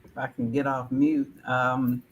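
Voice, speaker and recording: Woman from alabama hosting a video call chat, 40s, lively, says like a lot. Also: rumbling low, unhurried, slightly rough, dull audio. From Alabama, American South. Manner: animated, many "like" fillers. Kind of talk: casual discussion on a video call.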